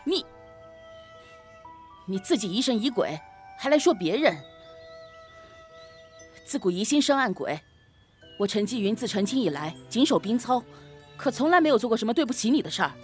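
A young gentleman of an old Chinese household, played by a woman, speaks with lively, swinging intonation over music. He is an exasperated husband, petulant and self-righteous, in period audio drama style. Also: fairly high pitch, moderate pace, pauses often. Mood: indignant.

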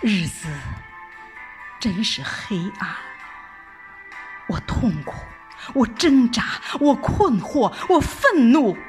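A woman narrates a first-person drama in an emotive, theatrical way. Her pace is slow and her pitch moves widely. Music plays softly behind her voice. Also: very animated. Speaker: audiobook narrator.

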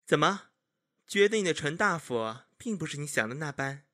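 A man playing a haughty, jealous young gentleman of ancient China in a period audio drama, with mocking, theatrical emphasis. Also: on the high side, lively intonation, normal speed. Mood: taunting, smug.

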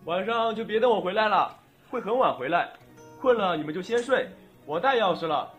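A man acting a calm, matter-of-fact middle-aged working father in an audio drama. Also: high-pitched, a little fast, highs cut off. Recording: music playing behind.

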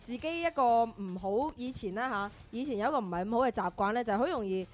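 Female radio regular, rapid chatty delivery, emphatic and self-mocking, light music bed. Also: a little high, lively intonation. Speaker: radio show regular and drama creator. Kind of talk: lively chat about a radio drama.

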